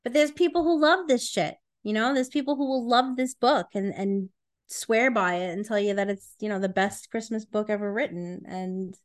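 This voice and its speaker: A woman with a medium-pitched voice chats as a podcast co-host. She is blunt and opinionated, with slangy, conversational phrasing. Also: moderate pace. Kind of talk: casual book-review chat.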